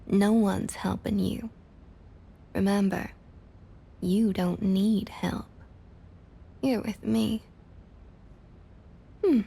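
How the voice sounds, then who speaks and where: Woman acting a husky, creaky Southern vampire matriarch. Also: medium pitch. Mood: dismissive, controlling. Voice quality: husky, breathy, often half-whispered. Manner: drawling, condescending, quietly threatening. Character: domineering female vampire council member, centuries-old, coolly possessive Southerner. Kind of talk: intimate ASMR roleplay, scripted character acting.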